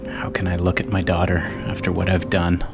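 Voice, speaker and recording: A man's voice: deep voice, monotone, a little fast, vocal fry, over music. A man playing a weary, solemn father in a war-torn city, reading his journal as a dramatized monologue. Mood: grave, guilty.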